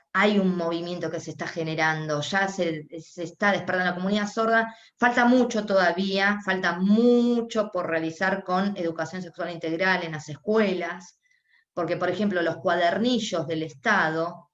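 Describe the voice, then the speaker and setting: Woman, Argentine accent, live voice interpretation, halting with restarts, roomy echo, dull lo-fi sound. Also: on the low side. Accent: Argentine Spanish. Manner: halting, with frequent restarts and pauses while interpreting. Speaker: sign language interpreter voicing a deaf panelist. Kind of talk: live voice interpretation at a panel discussion.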